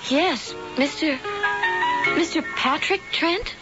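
A woman's voice: high-pitched, slow. An actress playing a naive, polite young woman, shy and hesitant, in old-time radio style. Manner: shy, stammering, apologetic. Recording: dull old radio recording, loud music behind.

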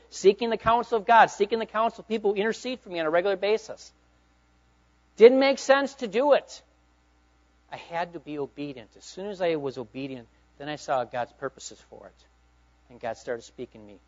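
A middle-aged man preaches with a notably high voice, pressing his points with emphatic bursts and then falling to hushed asides. The recording is dull and lo-fi, with the top end muffled. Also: lively intonation, a little fast. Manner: emphatic preaching, swinging between loud urging and hushed asides. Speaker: pastor. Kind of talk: sermon. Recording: dull lo-fi sound.

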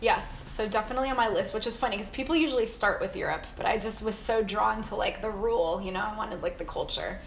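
A woman's voice: medium pitch, fast, vocal fry, few pauses. An American woman chatting casually and informally, rambling and often saying "like". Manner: rambling, informal, heavy use of "like". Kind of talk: casual conversation about travel. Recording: roomy echo, faint background noise.

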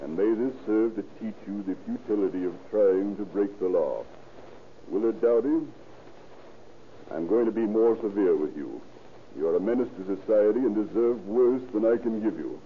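An actor playing a stern, formal man of the law in 1930s radio drama, speaking with deliberate authority. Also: lowish voice, phone-quality sound, close to an everyday voice. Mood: stern, solemn. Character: stern, authoritative lawman and sentencing judge. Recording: thin, hissy old radio recording.

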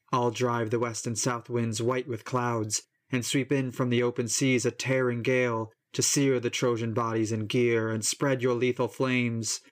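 A man's voice: medium pitch, lightly acted. A man reading an epic poem aloud, voicing a queen goddess who urgently orders her son into battle.

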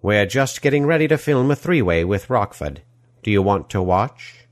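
A narrator plays a flamboyant, sleazy male villain in an audiobook thriller. His speech is silky and drawn-out, raised above the narrator's low voice and dripping with oily charm. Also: lively intonation, no room sound, clean studio sound, natural voice. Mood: silky, gushing.

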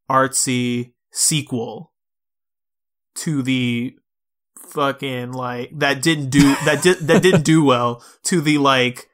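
Guy podcasting about video games, really bright forward voice, informal and chatty. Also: medium pitch. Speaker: podcast co-host. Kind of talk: casual video game discussion.

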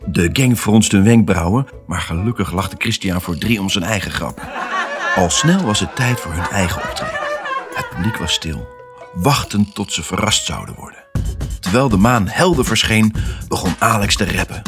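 Low-pitched male storyteller over music. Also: lively intonation, moderate pace, fairly bright tone. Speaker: narrator. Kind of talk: scripted children's story narration.

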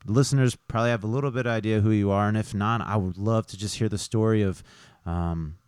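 Chatty male podcast host from Missouri, low smooth voice. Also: fairly even intonation, a little fast, darkish tone, no echo, studio quality. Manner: upbeat, chatty, lots of "right, right". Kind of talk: casual podcast hosting. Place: barbershop.

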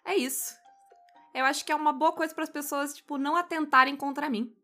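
A woman's voice: sits high, fairly even intonation, brisk, sharp tone, faint music behind. A Brazilian woman co-hosting a podcast in casual, bantering chat, telling stories in a playfully bossy way. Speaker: podcast co-host.

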